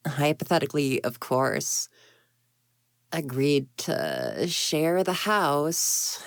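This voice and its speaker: A woman plays a proud, secretly lonely young ghost woman in an audio roleplay. Her voice sits low and she takes her time over her words. She is huffy and indignant, stammering when flustered, and the sound is close and dry. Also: gravelly, no room sound, heavily acted. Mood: reluctant, shyly hopeful. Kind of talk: intimate roleplay audio drama, one side of a conversation.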